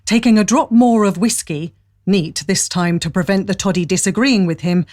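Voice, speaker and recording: Woman narrating an audio drama, medium pitch. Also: normal speed, a little mellow.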